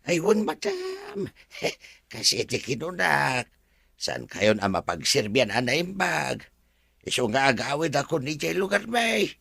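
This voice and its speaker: Man acting humble old servant, creaky Ilocano voice. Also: a little high, huge pitch swings, a little slow, a bit bright, dry recording, studio quality, heavily acted. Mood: apologetic, hesitant. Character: humble, apologetic elderly male house servant. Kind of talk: Filipino TV-style drama dialogue.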